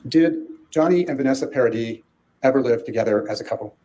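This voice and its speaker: A male attorney puts short, formal questions to a witness in court. He speaks fast, in an ordinary mid-range voice. His manner is direct and businesslike. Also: mid-range voice, warm tone, rough recording.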